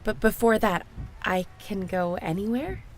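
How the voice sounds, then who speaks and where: Radio-play role female actor: gracious late stage star, wistful and gentle, faint music beneath. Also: mid-range voice, measured pace, natural voice. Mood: wistful, admiring. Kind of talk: audio drama acting.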